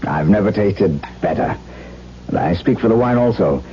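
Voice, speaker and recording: An actor as a deep-voiced lovestruck gentleman. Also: expressive, normal speed, a little hiss or hum, natural voice. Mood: eager, smitten. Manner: romantic, florid, intense. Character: ardent, lovestruck gentleman telling his own tale. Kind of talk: 1970s radio drama acting and dramatic narration. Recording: dull 1970s radio broadcast.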